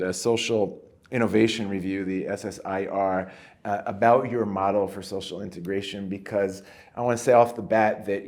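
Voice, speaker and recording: Man, interview host, lowish voice, eager, many restarts and repeated words. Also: darkish tone. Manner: repetitive, stumbling restarts, enthusiastic.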